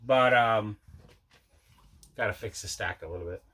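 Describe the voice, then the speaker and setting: Bright-voiced man, casual host. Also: lowish voice, a little slow, breathy edge. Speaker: video host showing mail and movie collection. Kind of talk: casual unboxing chat.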